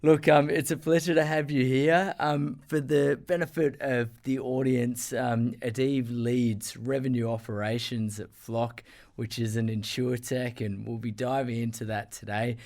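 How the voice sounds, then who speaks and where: A man's voice: medium pitch, little pitch movement, a little slow, a little mellow. A man hosting a podcast interview, effusive and full of fillers and restarts. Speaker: podcast host. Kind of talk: casual interview chat.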